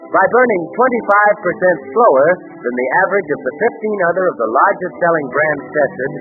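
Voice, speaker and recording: An American man announcing in a polished, emphatic 1930s radio style, reading scripted copy. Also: on the high side. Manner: emphatic, polished sales delivery. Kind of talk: 1930s scripted commercial and story narration. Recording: muffled, narrow-band 1930s radio recording.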